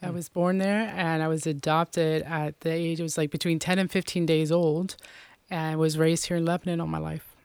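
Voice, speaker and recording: A woman's voice: sits low, level tone, moderate pace, a little mellow, smooth, no room sound. A woman from Puerto Rico, a guest in a casual faith interview, talking in a chatty way with many fillers.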